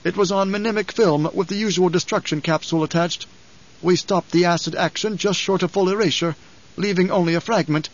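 A man voicing a loyal old advisor in an audiobook, grave and deferential, with a measured, formal, hesitant delivery. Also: sits high, fairly even intonation, lo-fi sound, pitched above the performer's own voice. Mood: grave, careful. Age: older.

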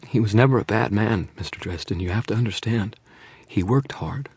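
A man voicing a frightened young mother who pleads and confesses in a rush, then drops to a hush. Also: lowish voice, husky, dry recording, voice pushed up. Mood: fearful confession. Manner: breathless pleading, rushing then hushed.

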